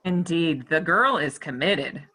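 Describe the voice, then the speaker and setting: A woman's voice: sits low, animated, slow, sharp tone, slightly rough, heavily acted. A woman playing a loyal, upright medieval French soldier in a play reading, with emphatic, archaic formal diction. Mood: solemn agreement. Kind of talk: stage play table read.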